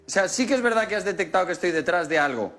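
Host, 40s male, Spain Spanish accent, high bright voice, playful and teasing, lapel mic. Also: lively intonation. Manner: playful, teasing, quick questions. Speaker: TV show host. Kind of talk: lively TV interview. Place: large TV studio set.

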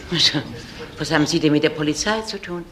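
An actress playing a strict, sarcastic retirement home matron, prim and reproachful, in a German crime radio play. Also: female voice, deep voice, fast talker, fairly bright tone. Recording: echoey room, faint noise.

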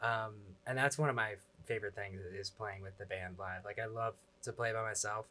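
A man's voice: on the low side, fairly even intonation, moderate pace, pushed and bright. A man in his twenties from Pennsylvania, a guest musician chatting easily and encouragingly on a studio lounge set into a desk mic. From Pennsylvania, USA. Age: 20s. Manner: easygoing, encouraging, says "like" a lot. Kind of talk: casual chat. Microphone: desk mic on stand.

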